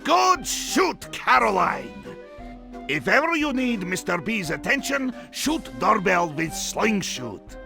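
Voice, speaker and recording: An eccentric, friendly showman, talks slowly in a high, gravelly voice. His broken English is clipped and theatrical, a flamboyant video game neighbor over clear background music. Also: big pitch swings, full character voice. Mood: hearty. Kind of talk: video game cutscene voice acting with tutorial instructions.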